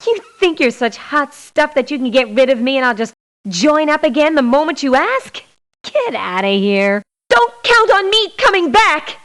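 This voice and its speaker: A woman's voice: sits high, very animated, normal speed, a bit bright. An actress playing a sassy, blunt young woman mercenary in a video game, delivering her lines in a curt, theatrical way. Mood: indignant, scornful. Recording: muffled lo-fi game audio clips.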